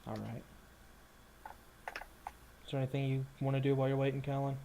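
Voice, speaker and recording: A man running a tabletop game, narrating off the cuff in an informal, halting way and chatting casually. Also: medium pitch, level tone, gravelly, dark tone, a little hiss or hum, low-quality recording. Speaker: game master.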